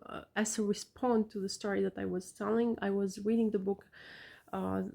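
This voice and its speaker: A woman with an Albanian accent talks straight to her viewers in a relaxed vlog. Her voice is mellow with a touch of fry, and she phrases things in a careful, non-native English. She sounds earnest and measured as she offers advice. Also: medium pitch. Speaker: parent vlogger.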